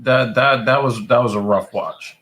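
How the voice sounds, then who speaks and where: A man talking casually as a watch party co-host, loose and easygoing. He laughs between thoughts and often trips into restarts. His voice sits at an ordinary middle pitch. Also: moderate pace, a bit bright. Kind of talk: casual fight-night chat.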